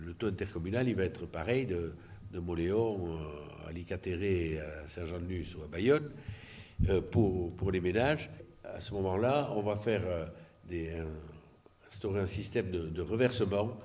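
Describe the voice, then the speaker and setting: Low-voiced man, halting explanatory talk. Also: a little mellow. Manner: halting, searching for words, repeats words. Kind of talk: explanatory political presentation, unscripted.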